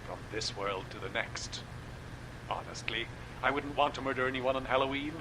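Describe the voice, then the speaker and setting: A man's voice: mid-range voice, moderate pace, noisy background, close to an everyday voice. An actor playing a genial male radio horror-drama producer, talking with relish about spooky stories. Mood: wry. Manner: theatrical, relishing spooky talk.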